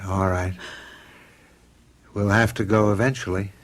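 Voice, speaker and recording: An actor playing a gentle, quietly troubled middle-aged American husband and father in a radio drama, hesitant yet reassuring. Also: on the low side, steady tone, a little slow, close to an everyday voice. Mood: gentle, resigned.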